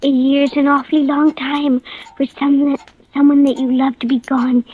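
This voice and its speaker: A young actress as a high-voiced, pleading little girl. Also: level tone, normal speed, dark tone, close to an everyday voice. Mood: wistful, insistent. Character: anxious, pleading little girl grieving her dog. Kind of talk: 1940s radio acting. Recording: muffled old radio recording.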